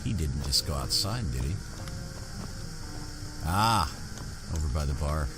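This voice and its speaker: A man giving unhurried, understated roleplay commentary as he hosts a gaming video. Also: a bass voice, lively intonation, music in the background. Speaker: gaming channel host. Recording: game ambience noise behind.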